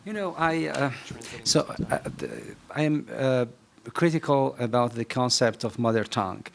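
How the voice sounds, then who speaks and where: Gravelly-voiced man, halting panel answers, lots of restarts. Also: mid-range voice, big pitch swings, a little hiss or hum. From Algeria. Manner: halting, many restarts and fillers. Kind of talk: panel discussion.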